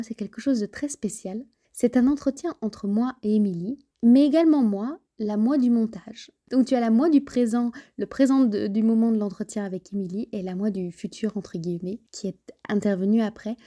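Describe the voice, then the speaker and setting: Female podcast host, earnest and reflective, with a high, very mellow tone. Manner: earnest, reflective, addresses listener directly. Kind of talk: podcast interview.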